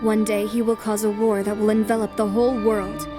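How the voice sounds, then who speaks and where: A woman voicing a poised, self-assured young noblewoman in an anime fan dub, formal, calm and resolute. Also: medium pitch, brisk, music in the background, close to an everyday voice. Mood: composed.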